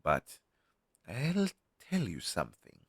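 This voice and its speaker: Male actor as crow demon, ex-familiar: lowish, unhurried, conversational, friendly but world-weary, for a listener-addressed audio roleplay. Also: wildly swooping pitch, creaky, slightly rough, dry recording, studio quality, full character voice. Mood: reminiscing. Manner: conversational, hesitant, with sighs and pauses. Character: down-on-his-luck male crow demon, former witch's familiar, wistful and friendly.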